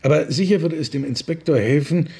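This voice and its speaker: An actor playing a polite, calm gentleman in a radio drama, speaking in a measured, courteous way. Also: fairly high pitch, breathy edge. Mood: calm, persuasive. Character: polite, calm gentleman helping a police inquiry.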